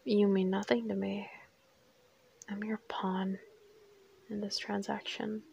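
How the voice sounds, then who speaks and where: A woman voicing a crazed, teasing assassin kidnapper in an intimate audio roleplay, whispery and unhurried, with a taunting menace. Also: mid-range voice, flat delivery, darkish tone, a little hiss or hum. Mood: cold, teasing. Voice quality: breathy, whispery. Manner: taunting, unhurried, coolly threatening.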